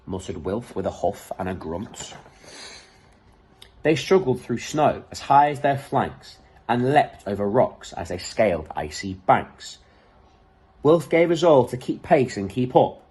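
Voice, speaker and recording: A man reads a children's story with dramatic emphasis. His voice is mid-pitched and husky, and his intonation swings in a lively, animated way. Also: measured pace. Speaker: storybook reader. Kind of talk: expressive children's story reading.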